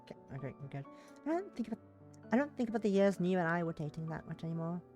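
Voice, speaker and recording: A woman's voice: on the low side, sing-song, very fast, warm tone, faint music behind. A woman livestreamer reading a visual novel's narration aloud, stumbling over words and rereading phrases, with brief casual asides.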